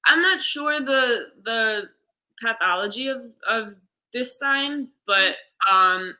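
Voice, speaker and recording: A woman's voice: on the high side, animated, takes it slowly, bright, forceful tone, poor audio. An American woman guest chatting casually in an interview, explaining things step by step in an informal way. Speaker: guest, sign language interpreter and theater stage manager.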